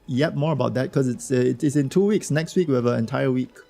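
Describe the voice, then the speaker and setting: A man streaming a game with a hoarse voice from a cold, chatting loosely and slangily with his viewers. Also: medium pitch, quick pace, warm tone, faint music behind. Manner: slangy, rambling, talks to chat. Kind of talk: casual livestream chat reacting to a game.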